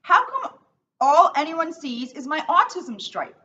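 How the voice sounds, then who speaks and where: Earnest, misunderstood little zebra boy for a storybook played by a woman, bright and very animated, slightly distant recording. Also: high-pitched, slow, pitched above the performer's own voice, exaggerated voice. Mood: tearful, pleading. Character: young male zebra, sensitive, misunderstood schoolchild. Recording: distant mic, dull sound, faint noise.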